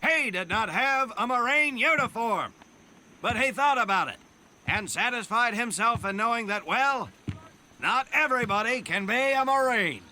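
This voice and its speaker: An actor playing a pompous, sardonic Marine drill instructor who declaims like a preacher and barks orders in a war game. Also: male voice, extremely high pitch, lively intonation, moderate pace, bright, forceful tone, full character voice, poor audio. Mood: smug, stern. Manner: declamatory mock-biblical oratory, barking orders. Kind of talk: theatrical military speech in a war game.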